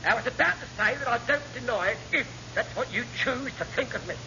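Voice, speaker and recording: A man's voice: very high-pitched, brisk, creaky. An English man playing a crafty, cheeky chief petty officer, mock-humble and long-winded, in a classic radio sitcom. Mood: mock-wounded. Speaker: actor in radio comedy. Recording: muffled, noisy old radio recording.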